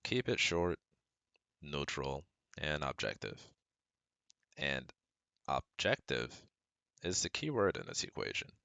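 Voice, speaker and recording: Very deep, gravelly male best friend, acted. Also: sing-song, slow, pauses often, pushed and bright, no echo, full character voice. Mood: calm, instructive. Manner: soothing, earnest, instructive, speaking directly to listener. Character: caring, protective male best friend giving firm advice. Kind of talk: ASMR roleplay.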